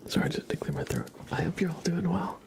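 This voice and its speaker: A man who streams ASMR, chatting softly and casually with his viewers and greeting them by name. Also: a little high, huge pitch swings, quick pace, creaky. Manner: soft-spoken, chatty, addressing viewers by name. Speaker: ASMR livestreamer. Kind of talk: whispered ASMR, casual livestream chat.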